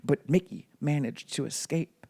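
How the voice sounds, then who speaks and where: Male storybook reader, bedtime narration, unhurried pace. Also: fairly high pitch, creaky, warm tone, breathy edge.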